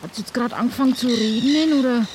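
A woman's voice: on the high side, fairly quick, a little mellow, a little hiss or hum. A woman improvising as a player in a role-playing game, speaking casually in character.

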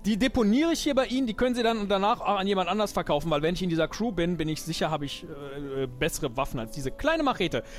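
A man playing an eager, brash would-be pirate in an improvised audio drama, chatty, excitable and fumbling. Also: high voice, fast, few pauses, faint music behind. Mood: eager bargaining.

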